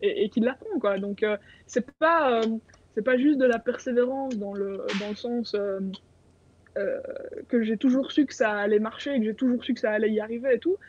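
A woman's voice: a little high, moderate pace, a little mellow. Candid woman on a muffled video call. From Belgium. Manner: candid, informal. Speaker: racing driver guest. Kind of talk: casual live chat. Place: small room at home.

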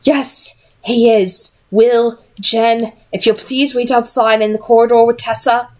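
A woman's voice: fairly high pitch, steady tone, measured pace, a little mellow, pitched above the performer's own voice, natural voice. Firm lady leader for a fantasy storybook, read by an amateur woman, formal and exasperated. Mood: stern. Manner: authoritative, formal.

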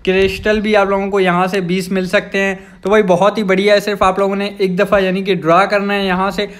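Young male gaming host, Pakistani accent, high voice, casual explanatory voice-over. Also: level tone, brisk, a bit bright.

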